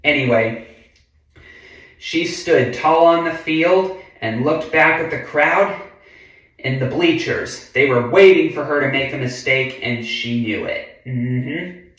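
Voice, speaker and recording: A man reading a children's book aloud in a deliberate way, adding casual, chatty asides and murmured mm-hmms. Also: mid-range voice, fairly bright tone. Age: adult. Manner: slow, deliberate delivery with frequent murmured mm-hmms. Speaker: reader of a children's picture book. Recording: very echoey room, far from the mic.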